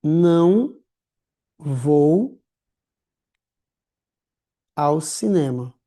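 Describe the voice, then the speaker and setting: A man teaching a writing class in a lively, slangy, chatty way, calling his students affectionate names. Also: a little high, drawn-out words. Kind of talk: casual recorded lecture.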